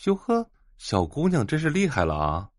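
An actor playing a young electronics stall owner in an audio drama, jovial, mocking and a bit preachy. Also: male voice, fairly high pitch, wildly swooping pitch, moderate pace, dry recording. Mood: teasing, lecturing.

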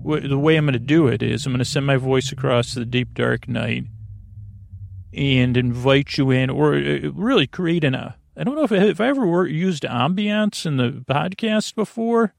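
Man, creaky drowsy voice, dry close mic, rambling bedtime talk, halting. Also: mid-range voice, dry recording. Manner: drowsy, self-deprecating asides. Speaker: sleep podcast host.

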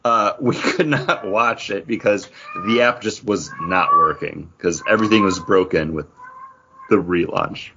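A man's voice: deep voice, measured pace, lo-fi sound, background noise. A man co-hosting a podcast, chatting casually in a conversational style. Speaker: podcast co-host. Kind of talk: casual pop culture podcast chat.

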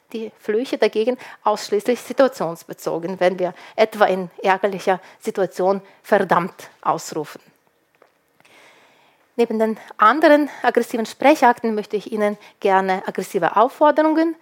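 Woman giving a measured lecture. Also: mid-range voice. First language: Ukrainian. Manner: measured and explanatory, with occasional hesitation fillers. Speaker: linguist presenting survey research. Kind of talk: prepared lecture talk.

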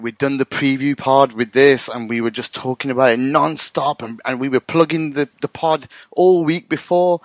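Man, excitable podcast co-host, swears freely, mid pitch, dry close sound. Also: dry recording. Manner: excitable, swears a lot, laughs often. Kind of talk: casual podcast chat.

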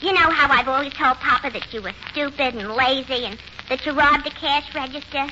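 An actress playing the plain, tart and gullible daughter of a tavern owner in 1940s radio comedy style. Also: female voice, high-pitched, brisk, pushed and bright. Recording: muffled, noisy 1940s radio recording.

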